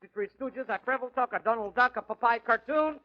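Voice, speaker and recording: Extremely high-pitched, haggling showman. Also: a little fast. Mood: proud, matter-of-fact. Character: haggling, fast-talking small cinema owner. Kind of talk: 1940s radio comedy acting. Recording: muffled vintage radio recording.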